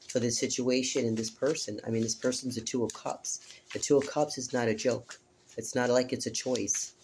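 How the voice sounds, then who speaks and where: Hoarse man giving a flat-toned tarot reading. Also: mid-range voice, background noise. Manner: earnest, repetitive, addresses listener directly with "okay?". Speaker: tarot reader. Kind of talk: casual spoken tarot reading.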